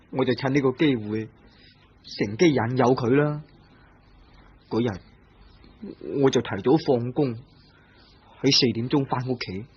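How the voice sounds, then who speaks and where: A man's voice: medium pitch, husky. A man playing a sly young killer, dull old radio tape. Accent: Cantonese. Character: young man confessing to killing his cousin's wife. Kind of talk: vintage radio drama acting.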